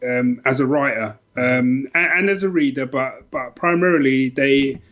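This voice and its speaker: A man's voice: medium pitch, moderate pace, dull audio. A middle-aged man chatting casually as a podcast guest, enthusiastic and rambling, often repeating himself. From London, England. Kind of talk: casual chat, telling personal stories.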